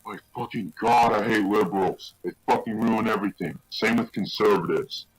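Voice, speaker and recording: A man rambling casually and swearing heavily through a low voice changer, recorded on a loud, distorted microphone. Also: moderate pace. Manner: heavy swearing. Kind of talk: rambling casual personal monologue.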